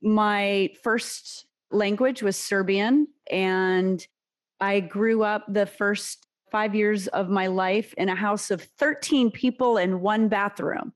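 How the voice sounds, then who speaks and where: A woman executive guest in a business interview, speaking in a measured, reflective way. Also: medium pitch, fairly even intonation. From United States.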